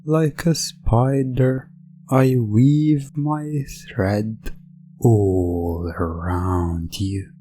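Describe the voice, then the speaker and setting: A hypnotist speaking in an ASMR style, commanding and coaxing, with deliberate pauses between short phrases. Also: mid-range voice, fairly even intonation, mellow.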